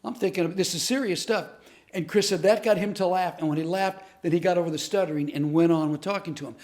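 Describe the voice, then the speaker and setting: A man with a fairly high voice tells true stories to teach a lesson. His delivery is earnest and animated. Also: fast. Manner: earnest, animated storyteller who acts out quotes. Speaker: comedic speaker and teacher. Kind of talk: storytelling lesson to camera.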